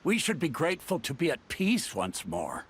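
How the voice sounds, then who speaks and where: An easygoing villager, very expressive pitch. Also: male voice, normal speed, slightly rough, heavily acted. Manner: chummy, reassuring. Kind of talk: video game voice acting.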